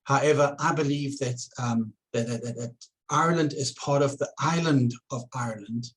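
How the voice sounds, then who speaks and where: A man's voice: medium pitch, normal speed. A man with a South African accent, an election candidate addressing voters in an earnest campaign video, often repeating words as he starts a phrase.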